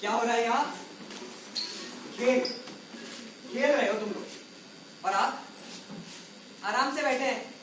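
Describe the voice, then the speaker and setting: A woman playing a bossy, exasperated clown troupe leader on stage, scolding with drawn-out sarcasm. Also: on the high side, background noise. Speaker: stage actor. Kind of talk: Hindi stage play acting. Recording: very echoey hall, distant mic, faint music.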